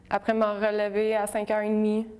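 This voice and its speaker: A woman's voice: mid-range voice, a little mellow, smooth. A young woman in her twenties with a Québécois accent, speaking frankly in an interview. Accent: Québécois French. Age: 20s. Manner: frank, plain-spoken. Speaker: former school dropout. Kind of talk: interview testimony.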